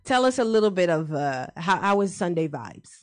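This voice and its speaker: A woman hosting a casual radio interview show from a studio, bubbly and quick to laugh. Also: lowish voice, big pitch swings.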